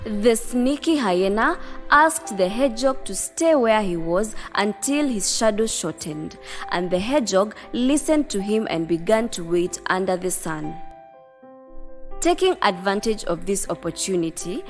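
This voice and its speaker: Female narrator for children's fairy tales, mid-pitched, scripted storybook read over light music. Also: lively intonation, measured pace. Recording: music under the voice.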